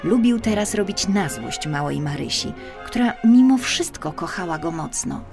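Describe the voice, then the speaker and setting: A woman reading a scripted children's story as an audiobook narrator, with expressive, deliberate phrasing. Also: lowish voice, vocal fry. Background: music.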